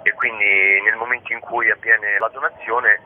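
A man's voice: on the low side, steady tone, normal speed, phone-quality sound. Male curator as phone-in guest, methodical explanatory delivery, thin line, faint noise. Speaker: publishing project curator interviewed as guest. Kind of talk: spontaneous phone interview, explanatory.

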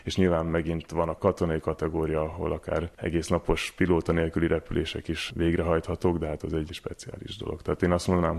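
A man's voice: low-pitched, creaky, a little mellow. A man giving methodical, explanatory answers as an expert guest in an interview. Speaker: university research fellow, interview guest.

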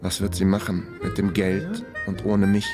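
A man's voice: sits low, animated, normal speed, over music. An actor playing a trapped, wryly self-mocking man who narrates his own story in a radio crime drama. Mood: wry, resigned. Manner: wry, matter-of-fact musing. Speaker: narrator and lead character.

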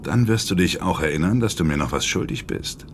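An actor playing a slyly confident, menacing criminal in a German radio drama, drawling and smugly commanding. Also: male voice, deep voice, animated, a little fast, noisy background. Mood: smugly threatening.

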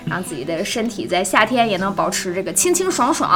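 A woman with a very bright, forward voice hosts a live chat over music. She is chatty and encouraging, keeping a casual back-and-forth with her guests. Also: medium pitch, very animated, a little fast. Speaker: host of a livestream.

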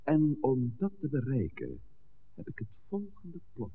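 A man acting the part of a courteous, scheming village priest in a 1960s radio play, speaking in a measured, formal way. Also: mid-range voice, huge pitch swings, husky, no echo, exaggerated voice. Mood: resolute. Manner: measured, formal, genteel speech. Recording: muffled, faintly noisy 1960s radio recording.

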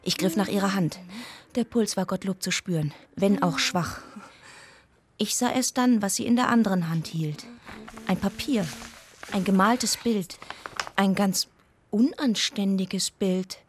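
An actress playing a pious deaconess who narrates in measured, short sentences for a radio play. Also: female voice, medium pitch, a little hiss or hum, lightly acted. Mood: hushed shock.

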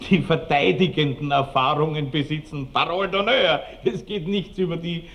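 An actor playing a boastful, lecherous country baron with an Austrian accent and pompous, relishing delivery in a radio drama. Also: male voice, on the high side, normal speed, fairly bright tone. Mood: swaggering. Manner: pompous, rambling, relishing every word. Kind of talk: classic radio drama acting. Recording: noisy old 1960s radio drama recording.

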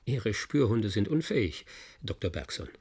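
An actor playing an embittered, defiant scientist in a German radio drama, with a husky, breathy voice and a grave, intense delivery. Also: male voice, on the low side, big pitch swings, normal speed, darkish tone, exaggerated voice. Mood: bitter, defiant.

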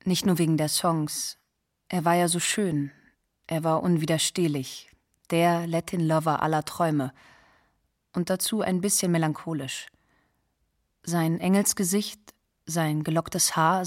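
An admiring woman reminisces in a radio drama. Her voice is on the low side, and she savors each detail as she lists it. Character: admiring woman recalling a heartthrob singer. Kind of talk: documentary radio drama monologue.

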